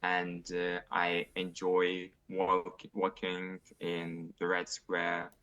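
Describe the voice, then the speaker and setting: A man's voice: low voice, completely flat delivery, very slow, sharp tone. A young man with a Russian accent, answering test questions hesitantly with many fillers and separated syllables. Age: young adult. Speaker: test candidate. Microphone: video call.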